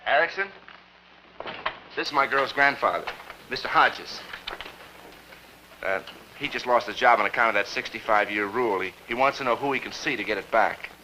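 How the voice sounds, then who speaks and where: Actor as a bright-voiced, flustered clerk. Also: male voice, medium pitch, normal speed, long pauses, slight background noise, lightly acted, rough recording. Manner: exasperated, hesitant. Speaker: office worker.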